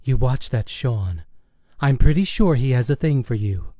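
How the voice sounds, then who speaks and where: Male narrator as a knowing mother, wry, gently teasing, mellow, audiobook dialogue. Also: mid-range voice, expressive, unhurried, no echo. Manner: dry, teasing.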